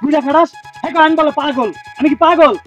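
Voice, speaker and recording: A woman's voice: sits high, fast talker, dull audio, faint music behind, close to an everyday voice. Woman doing a brash rustic young loafer. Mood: giggly. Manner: coarse rustic dialect, taunting then giggly. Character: grinning idle young man, brash and rustic.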